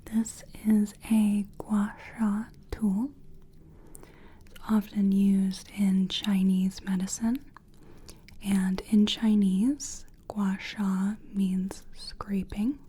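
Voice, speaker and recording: A woman giving a soothing, gentle ASMR personal attention roleplay in a breathy whisper, speaking deliberately. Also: medium pitch, little pitch movement, slight background noise. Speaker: ASMR creator playing a soul-cleansing practitioner.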